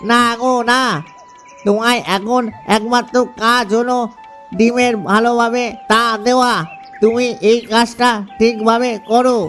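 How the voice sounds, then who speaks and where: A man's voice: very high voice, flat delivery, measured pace, fairly bright tone, soft background music. A man voicing a devoted male duck, an earnest husband and expectant father, in a cartoon story. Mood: doting. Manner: earnest, doting.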